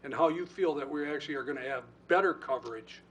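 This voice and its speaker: A man on a city council, asking questions and taking the floor in a measured, polite way. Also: fairly high pitch, a bit bright. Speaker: council member chairing questions. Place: council meeting room.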